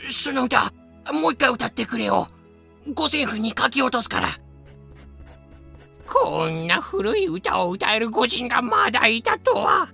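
A man puts on an eager, excitable young man for a comic audio play. The voice is high and sharp with a creaky edge, jumping around in exaggerated fashion over faint music. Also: a little slow, full character voice. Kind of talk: amateur voice drama acting.

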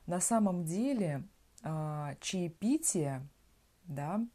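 A woman from Russia hosting a podcast, explaining words slowly and simply and often checking in with the listener. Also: fairly low pitch, lively intonation, warm tone. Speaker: podcast host teaching Russian. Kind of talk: slow conversational language lesson.

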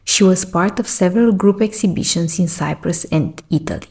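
Low, gravelly-voiced woman host. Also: moderate pace, noisy background. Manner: enthusiastic, earnest questioning. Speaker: podcast host. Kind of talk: scripted intro then interview questions.

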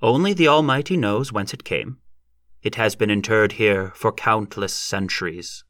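A man voicing a solemn, pompous small-town preacher in an audiobook, speaking deliberately in formal, pontifical phrases. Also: on the low side, fairly bright tone, no room sound, clean studio sound, close to an everyday voice. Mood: pious.

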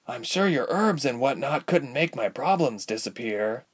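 A man's voice: medium pitch, animated, moderate pace, breathy edge, voice pushed up. A man voicing an earnest, impatient young woman adventurer in a storybook, polite but urgent and full of questions. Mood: wary. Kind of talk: storybook character voice.

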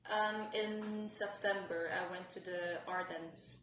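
A woman's voice: on the low side, steady tone, slow, fairly bright tone, roomy sound, poor audio. A young woman from France, a podcast guest talking casually and hesitantly, with many fillers. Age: young adult. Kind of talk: casual conversation.

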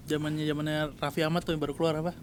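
A man's voice: on the high side, flat delivery, rapid-fire, a little hiss or hum. A man, chatting casually and teasing his friend. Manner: teasing, forgetful, laughs along. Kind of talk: casual chat.

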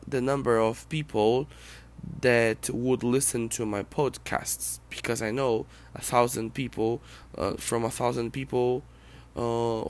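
Brazilian man, non-native English, unhurried and halting, solo podcast musings. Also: medium pitch. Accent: Brazilian Portuguese. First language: Portuguese. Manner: reflective, hesitant, halting. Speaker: podcast host. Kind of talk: personal monologue podcast.

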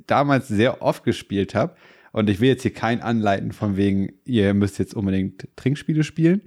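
A man who is slightly stuffed up from a cold, chatting casually and rambling as a podcast co-host. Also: fairly low pitch. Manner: relaxed, rambling storyteller. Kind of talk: casual chat.

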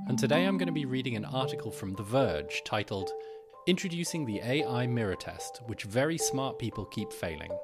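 Man narrating with very lively intonation. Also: medium pitch. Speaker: podcast host reading an article aloud. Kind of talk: scripted narration, read-aloud article with personal introduction.

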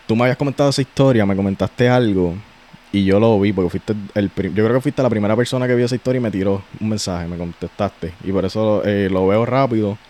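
A man with a Puerto Rican accent hosting a podcast, chatting casually in a slangy, relaxed way with frequent restarts. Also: low-pitched. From Puerto Rico. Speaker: podcast host.

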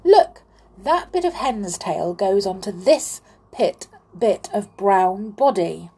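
A woman's voice: mid-range voice, animated, slow pace, darkish tone, husky, heavily acted. A woman voicing a bossy, hot-tempered girl doll in a children's story, with pushy, emphatic delivery. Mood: defiant, cross.

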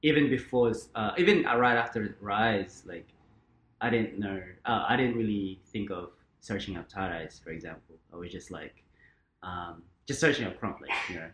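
Low-voiced rambling man from the Philippines. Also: normal speed, slight background noise. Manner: relaxed, rambling, many fillers and quoted asides. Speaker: podcast guest, krump dancer. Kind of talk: casual interview chat. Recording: roomy echo.

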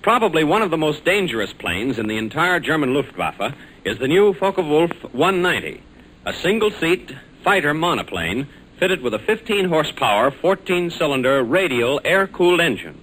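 A man's voice: fairly high pitch, very animated, moderate pace, a bit bright. An American man announcing and narrating in a scripted, formal and emphatic 1940s radio style. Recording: noisy old 1940s radio recording.

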